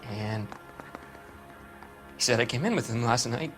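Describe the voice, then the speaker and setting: Shaken, halting man confessing, as acted. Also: medium pitch, faint music behind, close to an everyday voice. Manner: halting, broken phrases. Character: shaken, guilt-ridden male murder suspect, a hard drinker. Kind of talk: dramatic video game acting.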